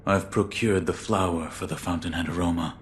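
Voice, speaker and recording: A male actor voices a loyal, stoic ninja from a video game. The voice sits very deep and rough, and the delivery is clipped and solemn. A faint noise lies behind it. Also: steady tone, a little fast, close to an everyday voice. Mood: solemn, restrained. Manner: terse, restrained, solemn. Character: stoic loyal adult male shinobi serving a young lord. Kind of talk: video game cutscene acting.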